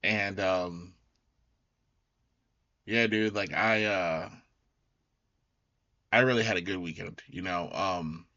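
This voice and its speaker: Raspy guy doing a chill podcast recap, slow and rambly, bright edge, kinda dull lo-fi audio, dry. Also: low voice, no room sound. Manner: loose, rambling, full of fillers and restarts. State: raspy, recovering voice. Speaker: podcast host. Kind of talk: casual solo recap talk.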